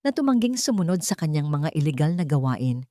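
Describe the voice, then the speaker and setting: A woman narrator plays a serious male lawyer carrying grave secrets in an audio drama. The delivery is earnest and deliberate, with a dry, close sound. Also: deep voice, big pitch swings, mellow, dry recording. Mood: grave, explaining.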